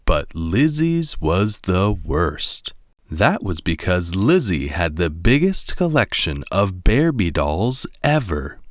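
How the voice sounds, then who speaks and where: A man narrating a children's storybook as a read-along, with a deliberate, expressive delivery. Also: sits low, dry recording, studio quality.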